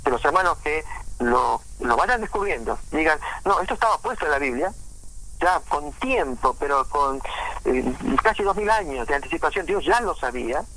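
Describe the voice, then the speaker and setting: A man preaching informally in a halting way, with frequent restarts and fillers. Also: fairly high pitch, expressive, bright, forceful tone, low-quality recording. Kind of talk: informal sermon talk on prophecy.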